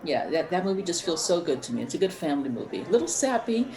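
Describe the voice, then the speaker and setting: A woman chatting casually in a group as a podcast panelist, in a halting, conversational way. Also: lowish voice, very animated, dark tone. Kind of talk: casual group chat about holiday movies. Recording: echoey room, background voices.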